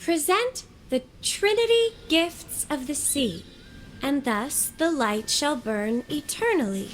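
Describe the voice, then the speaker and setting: Earnest young woman game companion, high voice, unhurried pace, gentle, thoughtful, devout. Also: expressive, soft background music. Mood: pondering. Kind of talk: scripted video game voice acting.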